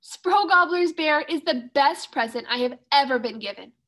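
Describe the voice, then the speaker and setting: A woman's voice: sits high, expressive, moderate pace, a bit bright. A woman reading a children's storybook, voicing a proud, loving and jovial pirate father. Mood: tender, jovial.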